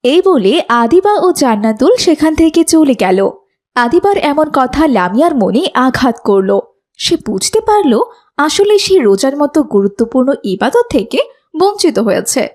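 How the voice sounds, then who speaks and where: Female narrator for children's moral story, notably high and expressive. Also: measured pace.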